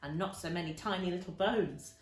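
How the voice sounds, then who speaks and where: A woman's voice: fairly low pitch, brisk, reverberant, faint music behind, natural voice. A woman voicing a furious, gloating, nasty old man in a children's storybook.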